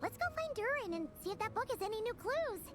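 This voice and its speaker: A woman voicing a tiny, bubbly, childlike fairy companion in a video game, cheeky and squeaky. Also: sing-song, quick pace, over loud music. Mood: curious. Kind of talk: animated game character voice acting.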